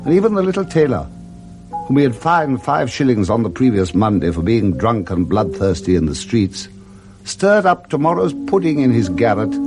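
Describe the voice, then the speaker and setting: Expressive English male storyteller, swooping pitch. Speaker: narrator. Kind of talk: scripted dramatic storytelling, 1960s British radio.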